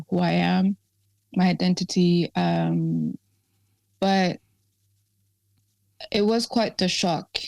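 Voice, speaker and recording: A woman from Ethiopia, guest on an interview, speaking casually with many pauses, ums and restarts. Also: lowish voice, gravelly, darkish tone, dry recording. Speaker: activist guest.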